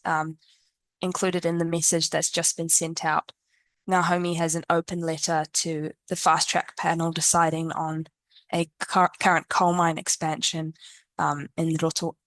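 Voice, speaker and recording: Woman webinar host, lower pitch, hesitant ums and restarts, dry sound. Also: a bit bright, no echo. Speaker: panel facilitator. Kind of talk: hosting a webinar panel.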